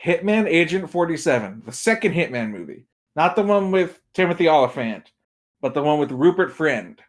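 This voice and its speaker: Higher-pitched man, emphatic podcast chat. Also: big pitch swings, normal speed. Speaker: podcast guest, film and game pundit. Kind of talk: casual opinionated podcast chat.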